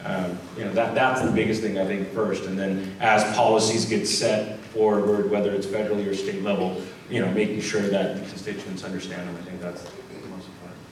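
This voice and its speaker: A man speaking as a panelist in a community hall, talking quickly and evenly with some fillers. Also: deep voice, flat delivery, a little hiss or hum. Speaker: panelist, state legislator. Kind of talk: panel remarks. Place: large community center hall, indoors. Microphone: handheld mic, filmed from a far camera. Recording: echoey hall from far off.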